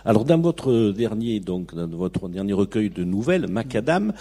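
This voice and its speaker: A man interviewing an author, friendly and quick with short affirming interjections. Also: lowish voice, big pitch swings, dark tone, slightly rough. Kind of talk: radio interview.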